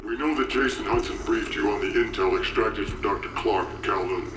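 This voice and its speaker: An actor playing a cold, probing interrogator in a video game, measured and clinical. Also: male voice, fairly low pitch, noisy background, natural voice. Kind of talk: video game cutscene acting. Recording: distant, echoing voice under loud music.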